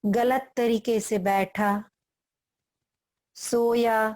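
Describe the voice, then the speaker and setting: A woman with an Indian accent leading a guided meditation, speaking deliberately in short phrases with long pauses between them. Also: fairly high pitch, steady tone, darkish tone.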